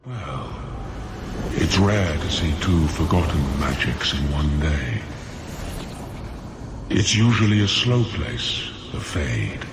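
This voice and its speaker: A sly demon of sloth in a fantasy game, drawling in a very low voice. He is unhurried and nonchalant, with noisy ambience behind him. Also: animated, full character voice. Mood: languid, sly. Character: languid, sly male sloth demon offering bargains.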